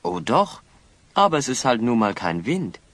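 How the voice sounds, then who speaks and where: A man playing a plucky, confident young hero in a German fantasy audio play, husky and brisk. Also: mid-range voice, very animated, fairly bright tone, no room sound. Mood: reassuring. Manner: brisk, urgent, teasing.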